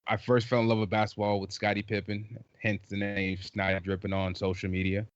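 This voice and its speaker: A middle-aged man from Trinidad, raised in South Carolina, chatting casually as a podcast guest in a jokey, animated way with many restarts. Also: fairly low pitch, brisk, dry recording, muffled recording. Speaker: podcast guest, basketball writer. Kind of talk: casual chat.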